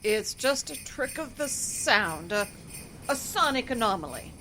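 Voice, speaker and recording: A woman's voice: on the high side, big pitch swings, measured pace, bright, forceful tone, soft background music, exaggerated voice. A woman playing a practical library boss with a dry, teasing, sensible manner in radio play dialogue. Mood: skeptical.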